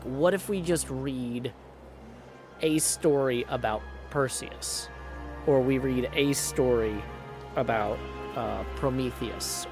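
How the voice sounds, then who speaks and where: A man streaming a video game, chatting casually and thinking aloud in a conversational way with his viewers. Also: mid-range voice. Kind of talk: casual livestream chat over gameplay. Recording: game music behind the voice. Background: video game music.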